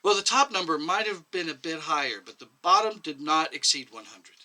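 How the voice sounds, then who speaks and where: A man voicing a nervous, apologetic middle-aged woman medic, halting and defensive, in a short story reading. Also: on the high side, animated, bright, forceful tone, pitched above the performer's own voice. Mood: hesitant, explaining.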